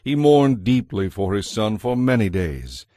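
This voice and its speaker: A man's voice: lowish voice, big pitch swings, a little slow, mellow, dry recording, studio quality. A man giving a scripted narration as the narrator of a dramatized audio Bible.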